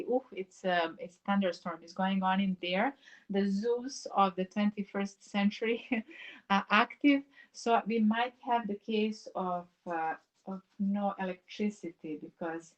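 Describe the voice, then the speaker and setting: Brief: woman, reflective live-stream painter, rambling thoughtful delivery, dull lo-fi stream audio. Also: medium pitch. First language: Serbo-Croatian. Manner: musing, reflective, wandering sentences with many pauses. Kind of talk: casual live-stream chat while painting. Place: home in the mountains.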